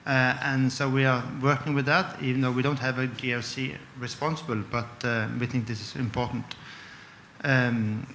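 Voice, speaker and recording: A man from Norway with a Norwegian accent, introducing himself on a panel in a halting way, searching for words. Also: mid-range voice, little pitch movement, a bit bright, echoey room, a little hiss or hum. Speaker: head of enterprise risk management, panelist. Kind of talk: conference panel self-introduction.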